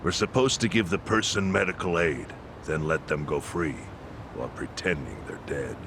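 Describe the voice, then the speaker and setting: A man's voice: sits low, normal speed, noisy background. A man playing a plain-spoken underworld insider in a video game cutscene, matter-of-fact and colloquial. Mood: explaining. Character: plain-spoken male underworld insider explaining secret rules.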